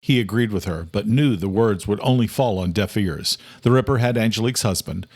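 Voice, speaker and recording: A man reading a scripted audiobook as narrator, telling the story in a dramatic, measured way. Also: on the low side, darkish tone, no room sound, clean studio sound.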